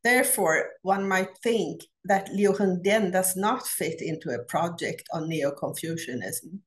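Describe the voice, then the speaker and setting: A middle-aged woman from Sweden with a Swedish accent, giving a prepared academic conference talk over a video call, measured and deliberate with frequent pauses. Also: on the low side. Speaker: academic researcher presenting a paper. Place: home office. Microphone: laptop webcam on a video call.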